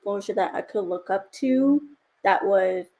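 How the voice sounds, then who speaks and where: A woman's voice: mid-range voice, moderate pace, mellow, dull audio. A young woman from North Dakota, hard of hearing, answering interview questions in a sassy, upbeat way. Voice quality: speech of a hard of hearing speaker. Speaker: guest.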